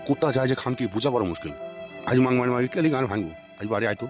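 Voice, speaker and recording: A man's voice: medium pitch, expressive, very fast, over music, lightly acted. A man voicing an angry, suspicious, foul-mouthed old village husband in a comic cartoon, cursing and scolding. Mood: fuming. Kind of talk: comic Bengali cartoon voice acting.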